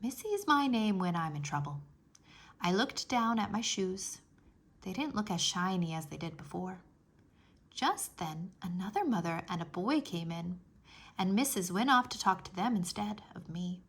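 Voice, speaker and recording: A woman voicing an outspoken, worried kindergarten girl telling her own story in an expressive, childlike read-aloud. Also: fairly low pitch, normal speed, breathy edge. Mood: grumpy.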